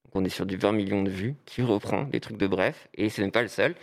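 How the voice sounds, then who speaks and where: A man chats as a podcast co-host. His voice is a little on the low side, and his delivery is informal and conversational. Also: brisk, vocal fry. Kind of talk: casual chat.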